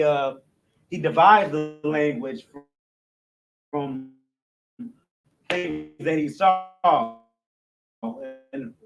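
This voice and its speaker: Male instructor, slightly higher voice, halting informal classroom delivery. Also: low-quality recording. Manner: loose and repetitive, stumbles and restarts, keeps asking "you know what I'm saying"; explains slowly, step by step. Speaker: religious language instructor.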